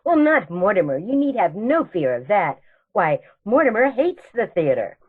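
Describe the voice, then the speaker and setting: A woman plays a sweet old spinster aunt in a radio comedy, fussing and chatting genteelly. Her pitch swoops freely, and the sound is a little dull. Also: very animated, moderate pace, breathy edge. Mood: chatty, sweet. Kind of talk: radio comedy theatre acting.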